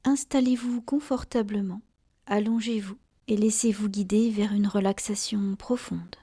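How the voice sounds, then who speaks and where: A woman guiding a relaxation meditation in a soft, breathy near-whisper, calmly and soothingly, in short phrases with long pauses. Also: medium pitch. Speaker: yoga nidra guide.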